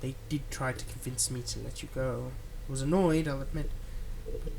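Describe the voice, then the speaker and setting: Casting: male actor as possessive supervillain for ASMR drama, mid-pitched, coaxing and deliberate, some background noise. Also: steady tone, creaky, a little mellow, close to an everyday voice, poor audio. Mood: gentle, reassuring. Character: manipulative, soothing male supervillain, tenderly possessive of his captive. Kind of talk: ASMR roleplay acting.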